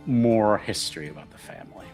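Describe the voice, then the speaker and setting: A man's voice: lowish voice, lively intonation, fairly quick, darkish tone, soft background music. A man chatting casually as a player in a tabletop roleplaying game, thinking aloud in a hesitant way.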